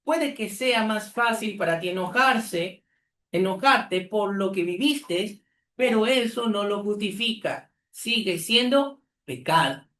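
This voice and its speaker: A man from Peru, preaching an earnest sermon as pastor with deliberate pauses. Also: high voice, lively intonation, sharp tone. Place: church. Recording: echoey church hall.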